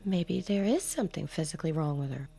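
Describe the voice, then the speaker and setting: An actress playing a middle-aged, grieving and protective foster mother, gentle and emotional, in a radio drama. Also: sits low, expressive, brisk, creaky. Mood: worried, protective. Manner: gentle, emotional, breaks into hushed tones.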